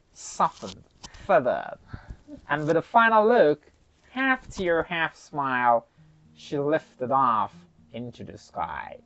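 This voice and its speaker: A storyteller narrating a folktale in an expressive, dramatic way, with deliberate pauses. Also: mid-range voice. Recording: slightly muffled, soft music underneath.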